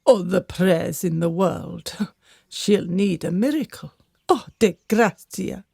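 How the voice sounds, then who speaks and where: A woman's voice: fairly low pitch, slow pace, vocal fry, a little mellow, slightly rough, no echo, studio quality, heavily acted. A woman playing a fretful, gossiping servant of a noble house in a radio drama, melodramatic and confiding. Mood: gossipy, pitying.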